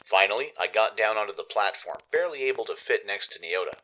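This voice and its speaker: Bright-voiced man narrating a radio drama. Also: lowish voice, animated, fast talker, low-quality recording. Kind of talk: dramatic scripted first-person story narration.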